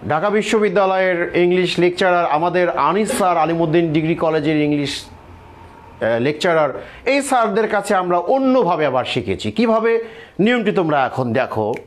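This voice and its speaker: A man's voice: high-pitched, slight background noise. A middle-aged man with a Bangladeshi accent teaching in a classroom style, didactic, repeating phrases and asking check questions. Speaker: English grammar teacher and board examiner.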